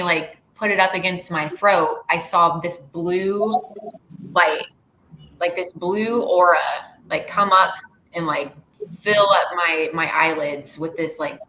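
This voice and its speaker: Bright-voiced woman in an echoey room. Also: lowish voice, steady tone, takes it slowly, a little hiss or hum. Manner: bubbly, laughs between phrases. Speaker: guest. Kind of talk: casual panel chat. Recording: echoey room with faint ambience.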